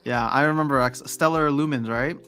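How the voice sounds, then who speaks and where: A man's voice: medium pitch, brisk, sharp tone, faint music behind. A man hosting a livestream, chatting casually and rambling with frequent restarts. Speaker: livestream host. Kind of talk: casual chat.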